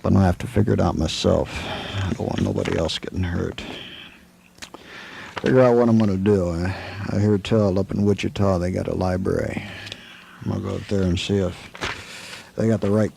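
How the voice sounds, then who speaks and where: An actor playing a calm, laconic Old West lawman with an American accent, understated and hesitant in a radio western. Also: low-pitched, normal speed, vocal fry. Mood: grim, resolute. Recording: faint background noise on old recording.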